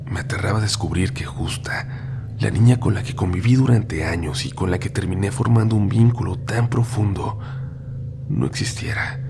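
A hoarse man hosting a horror story podcast, reading tales in a hushed, half-whispered voice. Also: deep voice, moderate pace, noisy background. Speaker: podcast host.

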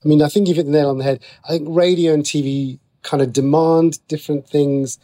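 A man's voice: a little high, fairly even intonation, a little fast, warm tone. A man with a British accent talking as a guest writer in a conversational interview, explaining things in a chatty way.

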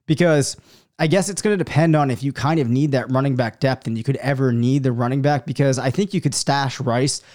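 A man hosting a sports podcast solo, slightly hoarse from a cold. He thinks out loud as he answers listener questions, filling pauses with ums and uhs and hedging his picks. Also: mid-range voice. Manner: thinks aloud, many fillers and hedges. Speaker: fantasy football podcast host.

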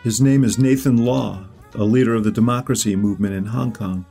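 An American man hosting an interview podcast, speaking in a measured, earnest way. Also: lowish voice, creaky, warm tone, husky, faint music behind. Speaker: podcast host.

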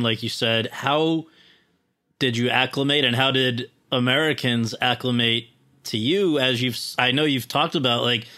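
A man interviewing a guest, asking his questions in a halting way with restarts. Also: medium pitch, pushed and bright.

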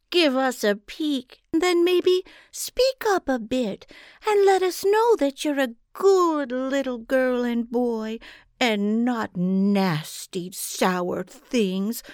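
A woman voicing a huge, ugly female giant with a sickly sweet, syrupy voice, cooing and wheedling in a drawn-out way. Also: high-pitched, a little mellow, no room sound, studio quality, pitched above the performer's own voice.

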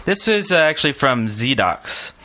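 Guy hosting a podcast, bright voice, really animated, chatting casually. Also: mid-range voice, measured pace. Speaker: podcast host. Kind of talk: casual group banter.

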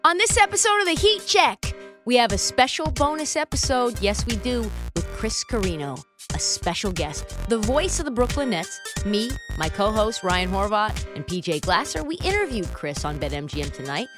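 A woman's voice: medium pitch, sing-song, moderate pace, hardly any pauses, music in the background. A woman hosting a sports talk show in a conversational style.

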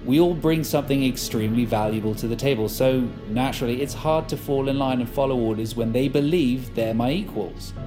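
A man's voice: medium pitch, a little fast, hardly any pauses, close to an everyday voice. Man acting smug controlling boss, condescending, coaxing, mid-range voice, audio drama, music behind. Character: smug, controlling male leader, falsely reasonable.

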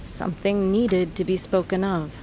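A woman playing a stoic, commanding older mother in an audio drama, with a measured, solemn delivery. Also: mid-range voice, fairly even intonation, mellow, a little hiss or hum, close to an everyday voice. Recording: faint ambience behind.

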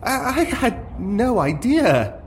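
Fantasy story role: fawning, nervous male actor on a quest, high and lilting, politely hesitant man performing. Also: big pitch swings, slow, slight background noise, full character voice. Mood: nervous, flustered.

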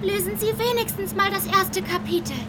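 A woman's voice: very high-pitched, steady tone, fairly quick, heavy background noise. A woman voicing an eager young book lover in a children's audio drama, speaking in a pleading, enthusiastic way.

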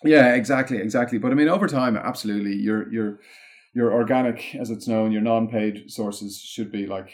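A man with an Irish accent explaining things in a casual interview, in a discursive, halting way with plenty of "like" and "you know". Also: fairly low pitch, fairly even intonation. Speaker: interview guest, content marketing consultant.

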